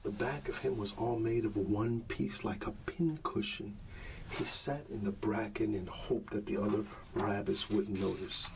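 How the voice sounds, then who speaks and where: A man softly reading a bedtime story in an ASMR style, soothing and often dropping into a whisper. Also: on the low side, fairly even intonation, unhurried, recorded from a distance, a little hiss or hum, low-quality recording. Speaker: ASMR storyteller playing a dad.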